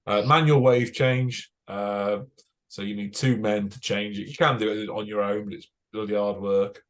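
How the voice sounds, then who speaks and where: A man's voice: fairly low pitch, steady tone, moderate pace. A young British man giving an informal technical talk to a radio club as a broadcast engineer, chatty and self-correcting with many fillers. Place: club meeting room.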